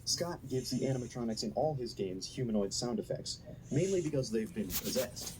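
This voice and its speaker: A man's voice: medium pitch, animated, brisk, noisy background. A man reading a scripted, analytical video essay narration.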